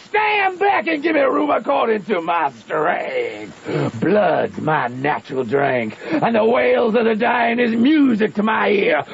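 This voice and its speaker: A man's voice: high-pitched, expressive, slow, vocal fry, raised voice, a crowd in the background, heavily acted. An actor playing a boastful wild frontier raftsman, bellowing bombastic tall-tale brags in an old-time radio drama. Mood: wild boasting. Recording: muffled old radio recording.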